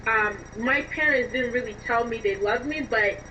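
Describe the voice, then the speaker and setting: A woman's voice: on the high side, normal speed, bright, forceful tone. A woman speaking as an interview guest and writer, earnestly explaining her views through personal examples. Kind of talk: reflective interview conversation. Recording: dull lo-fi, distant, noisy line.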